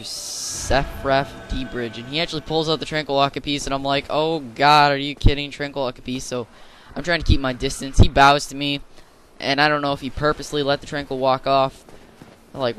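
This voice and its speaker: A young man, slightly hoarse, giving rambling, casual commentary over his own gameplay as a channel host. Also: mid-range voice, noisy background. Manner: chatty, rambling, with fillers and restarts.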